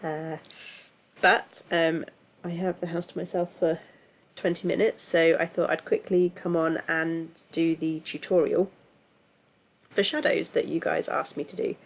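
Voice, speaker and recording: Stuffy-nosed woman, gravelly. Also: deep voice, flat delivery, measured pace. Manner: chatty, self-deprecating, filler-heavy. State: congested from a cold. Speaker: tutorial host. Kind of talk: casual screen-recorded software tutorial.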